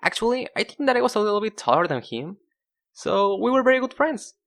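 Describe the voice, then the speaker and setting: A man's voice: high-pitched, very animated, brisk, fairly bright tone. A young man narrating in a casual, self-deprecating, conversational way, like a scripted storytime video.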